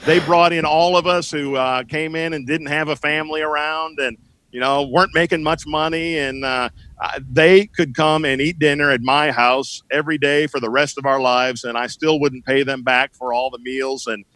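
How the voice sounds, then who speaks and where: A middle-aged man, a sports broadcaster, reminiscing as a guest in a casual conversation, telling anecdotes in a halting, searching way. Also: on the high side, fairly even intonation, pushed and bright, no echo, rough recording.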